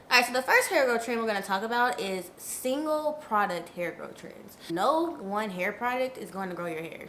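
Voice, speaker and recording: A woman's voice: mid-range voice, a bit bright, reverberant, slight background noise. A young woman with a stuffy nose from a cold, giving frank, chatty hair care advice straight to her viewers. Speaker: natural hair vlogger. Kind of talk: casual advice vlog.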